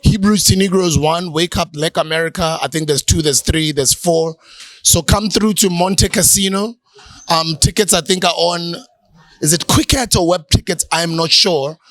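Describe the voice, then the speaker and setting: Male co-host; relaxed promo read, slightly raised pitch, dry close mic. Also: moderate pace, dry recording. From South Africa. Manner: relaxed, promotional, hesitant with ums. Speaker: podcast co-host. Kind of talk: casual chat, closing plugs.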